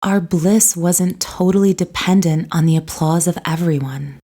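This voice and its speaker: A woman narrating a scripted guided meditation in a soothing, gentle, unhurried way. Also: lowish voice, little pitch movement.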